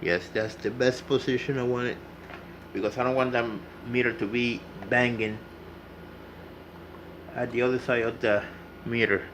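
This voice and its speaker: A man's voice: mid-range voice, steady tone, normal speed, pauses often, background noise. A man giving a casual, unscripted hands-on tutorial, explaining step by step and repeating himself as he goes. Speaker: hobbyist demonstrating CB radio repair.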